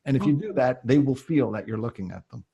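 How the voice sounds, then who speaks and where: A Canadian man coaching on public speaking, explaining things in an emphatic, conversational way with a slightly husky voice. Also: mid-range voice, quick pace, dark tone, highs cut off. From Canada (Ontario). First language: English. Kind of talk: conversational advice answering audience questions.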